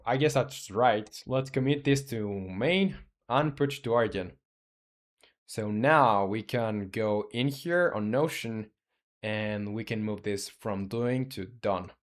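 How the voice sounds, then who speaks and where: A man's voice: fairly low pitch, takes it slowly. Young man thinking aloud while coding. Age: 20s. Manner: rambling, thinking aloud with fillers, answering chat. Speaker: game developer streamer. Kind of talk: casual live-stream chat.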